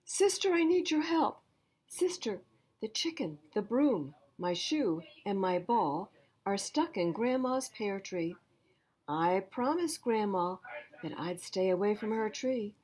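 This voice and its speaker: A woman's voice: medium pitch, lively intonation, slow, darkish tone, husky, voice pushed up. A woman voicing an anxious young child who pleads earnestly for help in a storybook. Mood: pleading, worried.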